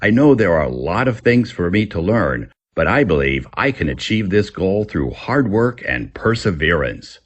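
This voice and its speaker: A man reading scripted English-learning texts aloud as a teacher, in a gravelly, husky voice. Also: low-pitched, sing-song, moderate pace, few pauses, lo-fi sound.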